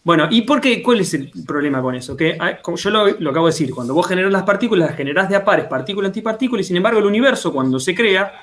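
High-voiced Argentine man explaining things. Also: expressive, rapid-fire, hardly any pauses, fairly bright tone. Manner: didactic, checks understanding with tag questions. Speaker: panelist explaining science. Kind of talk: casual explanatory chat.

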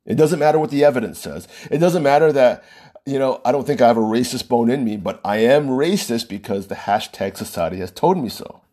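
A man talking alone in casual, opinionated commentary, often restarting sentences and checking in with "right?" and "you know". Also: brisk. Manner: lively pitch swings, frequent "right?" and "you know", self-interrupting restarts.

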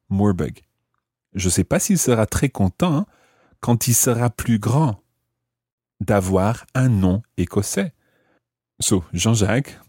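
Soft-toned, low-voiced male language teacher. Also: slightly rough, dry recording, studio quality. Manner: didactic, carefully enunciates and translates. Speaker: language teacher, podcast host. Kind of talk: explanatory French grammar lesson, phrase by phrase.

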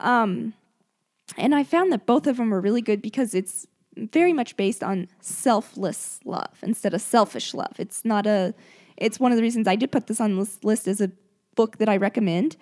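A woman hosting a book show in casual conversation, her voice hoarse and gravelly and prone to cutting out. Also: mid-range voice, normal speed, a little mellow. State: hoarse, gravelly voice that comes and goes. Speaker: host of a book discussion show.

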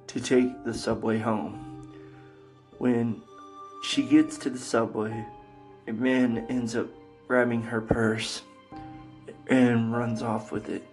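Slow, even-toned male podcast host. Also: mid-range voice. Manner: halting delivery in short broken phrases. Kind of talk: podcast introduction with episode summaries.

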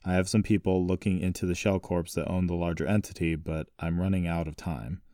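A man's voice: low voice, fairly even intonation, a little fast, dark tone, clean studio sound, lightly acted. A man voicing a stern, protective grandfather, grave and commanding, in audiobook dialogue. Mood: weary, confiding. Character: stern, protective, secretive grandfather, wealthy family patriarch. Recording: roomy echo, distant mic.

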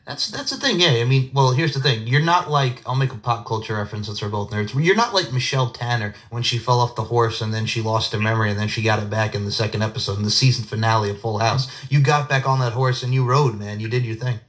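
A man's voice: medium pitch, fast talker, hardly any pauses, a bit bright. A young man hosting a podcast, hyped and effusive, often repeating himself as he chats with his guest. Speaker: podcast host. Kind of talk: casual interview chat.

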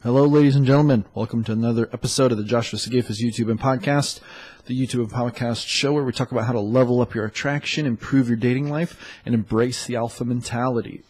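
A man hosting a dating podcast in a loose, chatty way, sounding congested and often pausing on fillers. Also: mid-range voice, fairly even intonation, a little mellow. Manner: loose and chatty, with many fillers and self-corrections. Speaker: podcast host and dating coach. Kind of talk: casual solo podcast talk.

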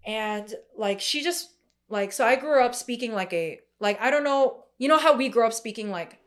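A woman's voice: a little high, animated, normal speed. A young woman with a Korean family background, preaching a sermon in a conversational way with many fillers and restarts.

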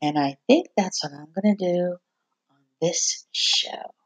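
A woman who hosts a podcast and writes songs, talking openly and reflectively at home while hoarse from losing her voice. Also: lowish voice, unhurried. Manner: open, reflective, confiding. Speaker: podcast host, singer-songwriter. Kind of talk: candid personal monologue. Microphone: computer recording.

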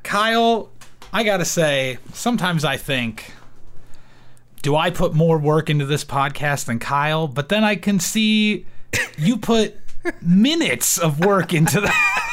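A man's voice: fairly high pitch, wildly swooping pitch, measured pace, fairly bright tone. A young man co-hosting a podcast, chatting casually with playful sarcasm. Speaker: podcast co-host.

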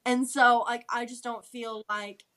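A woman's voice: on the high side, little pitch movement, a little slow, sharp tone. A woman co-hosting a podcast, recapping in a casual, slangy, emphatic way. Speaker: podcast co-host.

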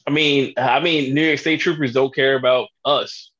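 A man joking and swearing in excitable casual chat on a podcast. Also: medium pitch, moderate pace, husky. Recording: muffled lo-fi mic.

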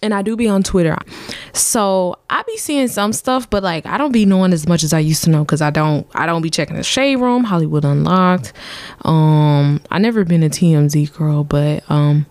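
A young woman hosting her own podcast in casual, playful chat, full of slang, her voice a little deep lately. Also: normal speed, darkish tone, dry recording. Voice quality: voice sounding a bit deep lately. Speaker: podcast host.

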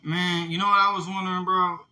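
A man co-hosting a casual podcast, talking in loose, slangy, profane jokes while high. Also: level tone, a little fast, sharp tone, roomy sound. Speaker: podcast co-host.

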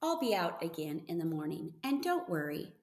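A woman voicing an eager, gentle thirteen-year-old farm boy in a storybook reading, earnest and excitable. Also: fairly low pitch, moderate pace, reverberant. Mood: tender, reassuring.

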